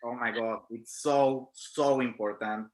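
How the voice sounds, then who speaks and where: A man's voice: medium pitch, steady tone, unhurried, poor audio. A young man from Mexico with a strong Mexican Spanish accent, speaking earnestly and emphatically as a panelist over a video call. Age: young adult. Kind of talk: panel discussion.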